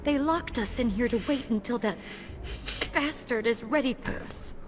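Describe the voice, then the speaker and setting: A woman's voice: on the high side, normal speed, noisy background, lightly acted. An actress playing a frightened, pleading young elf woman held captive in a fantasy video game. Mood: anxious. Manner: anxious, pleading.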